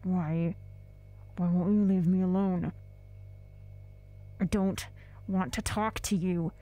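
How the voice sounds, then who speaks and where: A woman's voice: lowish voice, steady tone, takes it slowly, mellow. A woman playing a withdrawn, exhausted surveillance officer in an audio drama, speaking in terse, reluctant phrases. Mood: sullen, guarded. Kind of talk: audio drama monologue, spoken log.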